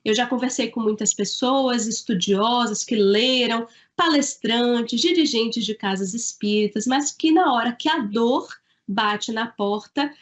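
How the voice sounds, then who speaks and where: A woman from Salvador, an author answering interview questions in an earnest, thoughtful way with the odd halting restart. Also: a little high. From Salvador, Bahia, Brazil. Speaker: author and guest.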